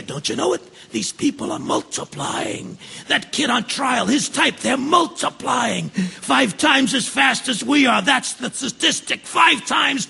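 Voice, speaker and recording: A raspy, high-strung male juror in an audio play, bigoted and belligerent. His voice swings widely and cuts sharply as he rants in rough, stumbling bursts. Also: bright, forceful tone, heavily acted. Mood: furious ranting. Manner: belligerent, ranting, stammering restarts. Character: enraged, bigoted juror, a ranting loudmouthed man. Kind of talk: stage-style radio drama acting.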